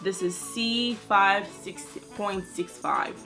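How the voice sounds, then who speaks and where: Sassy, bright-voiced young woman vlogger. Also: mid-range voice, slow, soft background music. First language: French. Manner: sassy, playful, chatty with slang asides. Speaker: beauty vlogger. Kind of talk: casual makeup tutorial.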